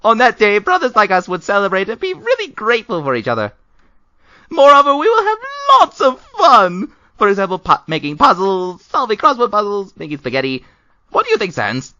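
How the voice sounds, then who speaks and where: A woman voicing a vain, excitable, theatrical male skeleton in a comic dub, with grand declamatory delivery. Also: mid-range voice, fairly quick, no echo, muffled recording. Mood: eager. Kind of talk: animated comic dub acting.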